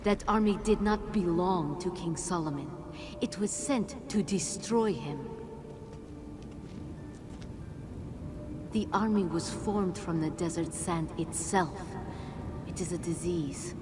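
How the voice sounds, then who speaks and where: A woman's voice: on the low side, measured pace, close to an everyday voice. An actress playing an ancient, regal jinn queen in a video game, speaking in a stately, solemn way. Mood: solemn, grave. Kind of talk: video game cutscene acting. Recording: prominent game music behind the voice. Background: cinematic music.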